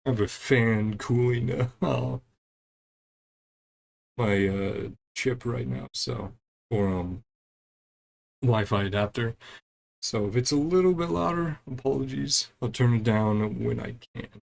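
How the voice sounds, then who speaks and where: A man's voice: mid-range voice, slow, creaky, long pauses. Casual man doing chatty let's-play commentary. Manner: chatty, murmurs short reactions between read lines. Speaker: gaming streamer playing through a story quest. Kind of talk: casual let's-play commentary, reading game dialogue aloud.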